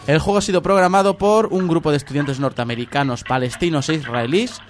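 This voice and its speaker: A man's voice: on the high side, fast talker, faint music behind. Man reading news in a dry tone. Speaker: radio co-host. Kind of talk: reading news, casual banter.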